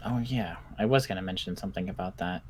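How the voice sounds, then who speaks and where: A man roleplaying a cautious, analytical doctor in a zombie survival game, speaking in a deliberate, musing, clinical way. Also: low-pitched, a little hiss or hum, lightly acted. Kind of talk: improvised Minecraft roleplay.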